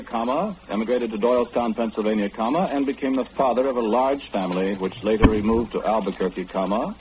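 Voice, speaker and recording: Old radio drama role: omniscient, formal gentleman played by a man, low pitch with very lively swings, precise and unruffled. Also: close to an everyday voice. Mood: dictating, precise. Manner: formal, fussy, precise, matter-of-fact. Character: know-it-all gentleman who calmly knows everything. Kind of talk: old-time radio play acting. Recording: dull, noisy old radio recording.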